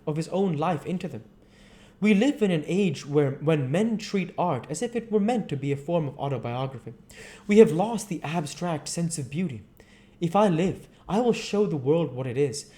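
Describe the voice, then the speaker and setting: A man's voice: fairly high pitch, dark tone, lightly acted. A man voicing an ardent, anguished painter in a dramatic storybook reading, earnest and confessional. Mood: indignant.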